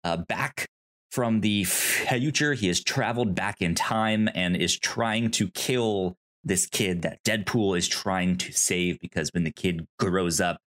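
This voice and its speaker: A man co-hosting a podcast in a casual movie discussion, speaking haltingly and stammering over repeated false starts. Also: deep voice, a bit bright. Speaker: podcast co-host.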